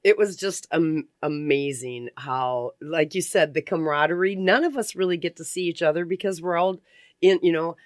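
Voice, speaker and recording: A woman's voice: low-pitched, dry recording, studio quality. A woman hosting a radio interview in a casual, chatty and effusive way. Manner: effusive, quick to laugh. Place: community radio studio.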